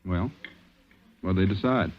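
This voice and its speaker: An actor playing a wry police detective in a 1940s radio drama. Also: male voice, low voice, a little slow. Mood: wry, subdued. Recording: hissy, dull vintage radio recording.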